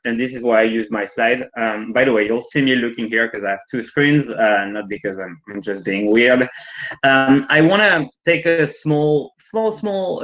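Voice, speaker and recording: A man's voice: medium pitch, fairly bright tone, muffled recording. A man presenting a training workshop from home over a video call in English, which is not his first language. He talks in a chatty way and keeps checking in with "right?". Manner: chatty, frequently adds "right?". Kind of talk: live online training talk with demos. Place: working from home.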